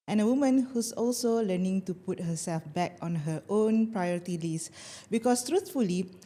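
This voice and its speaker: A woman with a Malaysian accent talks gently and reflectively as the host of her own podcast. Her voice is mellow and warm, set at a middle pitch. She slips easily between English and Malay as she coaches her listeners. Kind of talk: scripted solo podcast talk.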